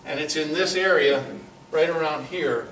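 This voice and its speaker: A man's voice: a little high, fairly bright tone. Folksy man talking in an echoey room. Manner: halting, folksy, jokey. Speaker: club speaker giving a talk. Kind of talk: informal show-and-tell talk to a club audience. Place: club meeting room, live talk. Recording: echoey room, distant mic, clear background noise.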